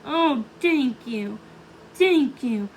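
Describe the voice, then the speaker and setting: Woman voicing John Bob, a haughty, excitable frog. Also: high voice, extremely slow, a little hiss or hum, exaggerated voice, rough recording. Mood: adoring. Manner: haughty, dramatic exclamations.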